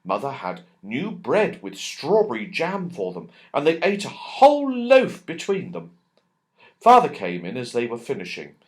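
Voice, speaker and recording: A man's voice: medium pitch, huge pitch swings, unhurried. A man narrating a children's storybook expressively, drawing out key words for emphasis. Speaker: audiobook narrator.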